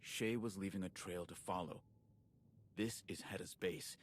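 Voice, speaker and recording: Game ally: plain-talking male scout, lowish pitch, casual delivery, slight background rumble. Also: unhurried. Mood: earnest briefing. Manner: casual, conversational. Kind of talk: video game cutscene dialogue.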